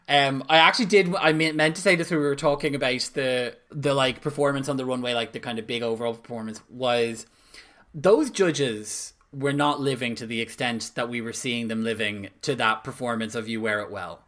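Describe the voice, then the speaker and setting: Male co-host, bright forceful voice, chatty and emphatic. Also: mid-range voice, normal speed. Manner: emphatic, frequent "like" fillers. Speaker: podcast co-host. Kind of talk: casual chat.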